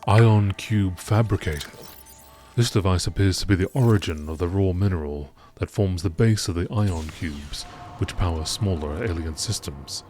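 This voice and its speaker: A man reading scripted entries as a narrator in a measured, deliberate nature documentary style. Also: sits low, a little mellow, slight background noise.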